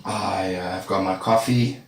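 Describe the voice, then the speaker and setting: A man casually talking to his live-stream viewers while he draws. He rambles in a relaxed way and sounds a little congested from a cold. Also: low voice, unhurried, creaky. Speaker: art streamer. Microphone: streaming setup with a camera facing him. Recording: echoey room with faint background noise.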